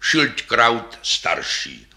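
A man voicing a very old, dignified male double bass with a deep, sighing voice, speaking slowly in a storybook. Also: big pitch swings, bright, forceful tone. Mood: reminiscing. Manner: slow, courtly, hesitant.

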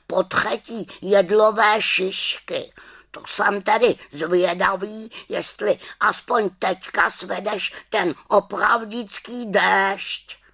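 A woman's voice: mid-range voice, a bit bright, pitched above the performer's own voice. A woman voicing a wise, nagging male raven in a children's storybook, scolding and squawking as it talks. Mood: reproachful. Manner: scolding, exclaiming, squawking delivery.

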